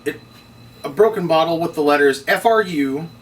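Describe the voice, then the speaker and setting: A man's voice: on the high side, normal speed. A man narrating stage directions as he reads from a script at a table read. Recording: distant mic, noisy room.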